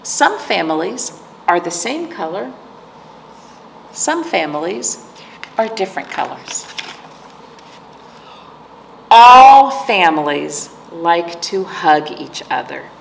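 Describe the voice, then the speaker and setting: Middle-aged woman, children's storytime host, unhurried delivery, creaky voice, simple child-directed phrasing. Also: on the low side, sing-song. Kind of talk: storytime read-aloud and chat to young children. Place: indoor library room, seated before patterned wall. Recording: echoey room with clear background noise.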